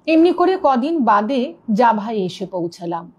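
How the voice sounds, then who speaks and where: A woman storyteller reading a ghost story aloud in an expressive, suspenseful narrating manner. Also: fairly high pitch, measured pace, breathy edge.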